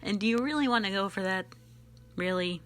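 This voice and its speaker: A woman's voice: mid-range voice, fairly quick, a bit bright, clear, resonant tone. A young woman hosting a casual question-and-answer chat, giggly, rambling and playfully self-mocking. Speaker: online channel host and amateur voice actor.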